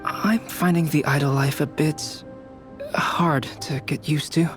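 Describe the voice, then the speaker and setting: A man voicing a calm, reserved young alchemist in a video game, soft-spoken, polite and reflective. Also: on the high side, a little slow, over music, natural voice. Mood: pensive.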